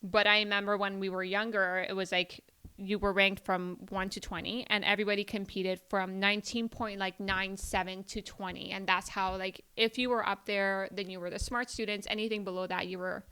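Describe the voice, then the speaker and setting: A woman's voice: mid-range voice, little pitch movement, a little fast, sharp tone, clean tone. A woman who grew up speaking Farsi, a podcast guest telling stories in casual chat, with many "like" fillers. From Iran. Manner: chatty storytelling, many "like" fillers.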